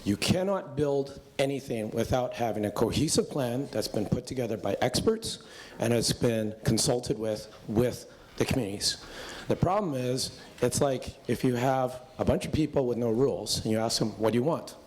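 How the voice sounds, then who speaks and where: A Canadian man, a mayoral candidate, speaking hoarsely with a sore voice, turning rousing and emphatic in a debate. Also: mid-range voice, lively intonation, normal speed, a little mellow, slight background noise, poor audio. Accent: Canadian English. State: hoarse from illness. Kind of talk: political debate.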